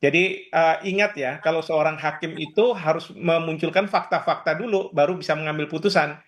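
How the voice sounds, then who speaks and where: A man giving expert analysis in an interview, explaining in a halting way. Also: on the high side. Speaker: expert guest, professor.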